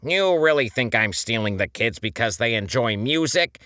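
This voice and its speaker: A man's voice: medium pitch, animated, moderate pace, pushed and bright, dry recording, clean studio sound, pitched above the performer's own voice. Guy doing a grumpy scolding squid dude, indignant and fed up, storybook reading. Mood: exasperated. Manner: scolding, exasperated. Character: irritable adult male squid, exasperated and indignant.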